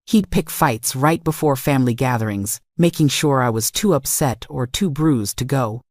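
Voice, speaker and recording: A woman with a low voice delivers scripted, dramatic first-person narration. Her manner is confessional, leaning on short emphatic phrases. The recording is dry and close. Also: moderate pace, darkish tone, no echo. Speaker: story narrator.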